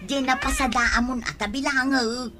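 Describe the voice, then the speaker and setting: A woman's voice: high voice, fairly even intonation, moderate pace, loud music behind the voice, natural voice. A woman acting a nagging, worried wife and mother in a radio comedy-drama, retorting fretfully with an Ilocano accent. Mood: impatient.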